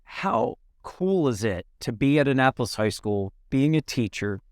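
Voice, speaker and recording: A man's voice: mid-range voice, big pitch swings, moderate pace, dry recording, clean studio sound. A man hosting an interview in an upbeat presenter style, repeating phrases for emphasis. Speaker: interview show host.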